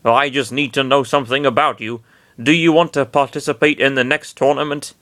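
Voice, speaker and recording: An earnest young monster trainer talks in a friendly, encouraging way, as a man reads him, near his own natural voice at a middling pitch. The sound is dry and close. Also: fairly even intonation, fairly quick, sharp tone, dry recording, close to an everyday voice. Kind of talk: comic dramatic reading of fan fiction.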